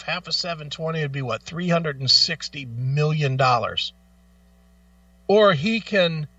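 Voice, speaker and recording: A man with a high, sharp, forceful voice rants like an opinionated radio host. He is blunt, profane and emphatic, hammering on repeated phrases. The sound is slightly muffled. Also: no echo, recorded from a distance. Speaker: talk show host. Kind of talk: opinionated music talk, ranting.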